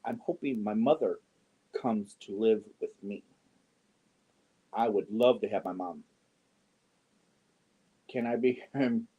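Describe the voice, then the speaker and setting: A man in his fifties chatting casually with viewers on a yarn livestream, joking and often clearing his throat. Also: lowish voice, takes it slowly, pauses often, dull audio. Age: 50s. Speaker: yarn channel host. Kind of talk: casual livestream chat answering viewer comments.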